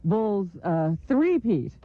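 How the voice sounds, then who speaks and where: A woman's voice: mid-range voice, big pitch swings, slow pace, dry recording. Woman doing the news on a radio show, laughs a lot, dry tinny old recording. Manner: laughs often, chatty news delivery. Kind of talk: reading news in radio banter. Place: New York radio studio. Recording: lo-fi phone-like radio broadcast.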